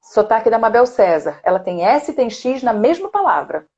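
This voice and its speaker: A woman's voice: a little high, sing-song, moderate pace, darkish tone, muffled recording. A woman speech therapist with a Rio de Janeiro accent, explaining voice technique in a live online chat and tagging her points with "né?". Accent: Rio de Janeiro Brazilian Portuguese. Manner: explanatory, demonstrates sounds, frequent "né?".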